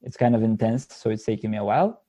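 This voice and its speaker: A man's voice: lowish voice, fast, mellow. A man from Bulgaria speaking casually as an interview guest, hesitantly searching for words. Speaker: podcast guest, literary translator.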